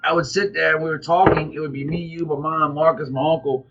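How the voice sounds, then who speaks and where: A man with an American accent and a husky voice, telling anecdotes in casual, colloquial vlog chat. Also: fairly high pitch, fairly even intonation, quick pace, background noise. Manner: colloquial, acts out quoted exchanges. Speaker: vlog co-host. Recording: dull lo-fi audio, music underneath.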